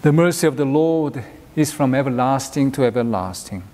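A middle-aged man leading church worship as minister, reading prayers and scripture in a solemn, measured, formal way. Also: mid-range voice, expressive, mellow. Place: inside a church, at a pulpit. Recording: long echoing hall reverb.

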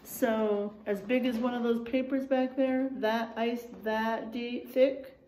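A middle-aged woman, a kindergarten teacher reading a children's book aloud and pausing to explain things simply in a deliberate, expressive way. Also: fairly high pitch, slight background noise. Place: indoors, in a room with drywall and posters.

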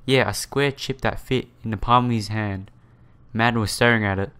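A man's voice: lowish voice, steady tone, normal speed, pushed and bright, smooth. A man hosting a let's play, reading a visual novel aloud with casual asides and stumbling now and then over the words. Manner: stumbles over read words and repeats phrases.

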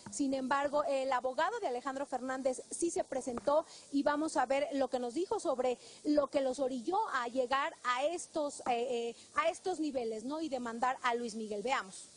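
A woman's voice: sits high, normal speed, few pauses. A woman with a Mexican accent giving a live field report as a TV reporter. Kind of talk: live news report, semi-scripted. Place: outside a government building on a city street. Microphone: handheld reporter microphone. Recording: outdoor street noise behind.

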